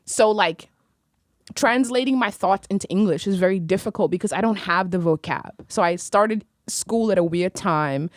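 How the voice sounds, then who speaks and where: A woman's voice: medium pitch, moderate pace, no echo. Poetic young woman in a dry studio. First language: Arabic. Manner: vivid, poetic and reflective, laughs easily. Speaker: poet and podcast guest. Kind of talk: casual conversation. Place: small studio room. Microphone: studio mic on stand, headphones.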